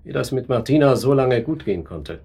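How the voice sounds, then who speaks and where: An actor playing a haughty, irritable middle-aged district manager in a radio drama, dismissive, sardonic and clipped. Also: male voice, mid-range voice, animated, brisk, gravelly, dark tone, breathy edge. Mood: scornful. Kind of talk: German radio drama acting.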